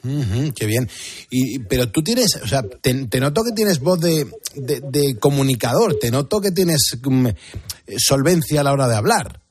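A man with an accent from Spain hosts a live radio show in a husky, slightly rough voice. He chats easily with callers and is openly encouraging, at an ordinary pitch. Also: lively intonation, measured pace, a little mellow, dry recording. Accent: Spanish, from Spain. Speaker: radio host.

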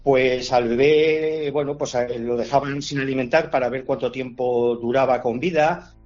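A man's voice: mid-range voice, fairly even intonation, moderate pace, a little mellow, muffled recording, soft background music. A man answering as an expert guest, talking casually with frequent fillers and restarts. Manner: hesitant, many fillers and restarts. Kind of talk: conversational explanation.

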